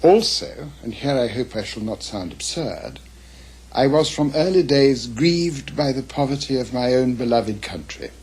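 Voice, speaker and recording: An older man with an English accent speaks at a middling pitch. His voice is strongly husky and worn. He reminisces and reads aloud with measured, literary emphasis. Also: animated, a little mellow, rough recording. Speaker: author's son giving reminiscences and readings. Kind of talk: reflective reminiscence and literary reading of letters.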